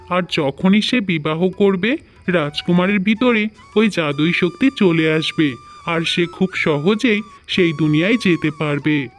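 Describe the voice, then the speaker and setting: A man playing a mysterious, wise hermit sage in a cartoon, explaining things solemnly, with music behind. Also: sits high.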